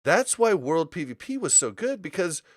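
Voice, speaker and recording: A man's voice: sits high, sing-song, normal speed, dry recording, studio quality. A man chatting casually on a gaming podcast, giving opinions in a rambling, storytelling way. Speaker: podcast host.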